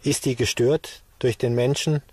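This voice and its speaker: Male scientist interviewee, dark raspy voice, plain conversational explanation. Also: medium pitch, steady tone, measured pace, no echo. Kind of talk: plain-worded spoken explanation.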